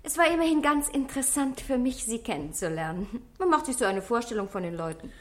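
A woman's voice: a little high, big pitch swings, a little fast. An actress playing a cool, world-weary, provocative woman of twenty-one, aloof and flippant, in a German radio play. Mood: teasing.

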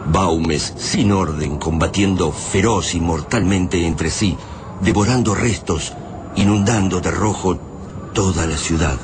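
Deep, solemn Argentine radio narrator. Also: male voice, takes it slowly. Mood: grave, ominous. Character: grave male narrator of a science-fiction adventure. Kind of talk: dramatic scripted radio narration. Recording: clearly noisy background ambience.

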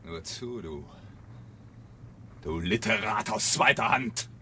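An actor playing a taunting, theatrical and menacing fire chief in a German radio play from 1970. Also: male voice, low-pitched, expressive, slow pace, bright, forceful tone, slight background noise, heavily acted. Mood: mocking, goading. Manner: mocking, needling, theatrical.

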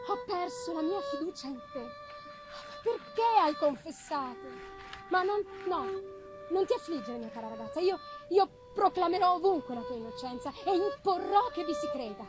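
A woman voicing a devoted, grieving young gentlewoman in a dramatic reading, tender, tearful and halting. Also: very high-pitched. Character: devoted, grieving young gentlewoman consoling her doomed friend. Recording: live music behind.

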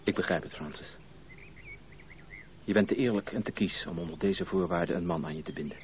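An actor playing an earnest, ardent gentleman suitor in a radio play, formal and theatrical in his speech. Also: sits low, a little fast, lightly acted. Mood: bewildered. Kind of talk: old radio play acting. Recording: tinny, band-limited, hissy old radio recording.

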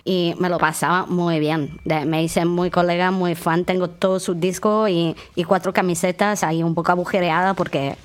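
A devoted punk fan in a mock radio documentary, rambling on chattily in a smooth, low-ish voice, as a woman plays her. Her speech is loose and full of restarts. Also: fairly even intonation, normal speed, hardly any pauses, close to an everyday voice. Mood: enthusiastic.

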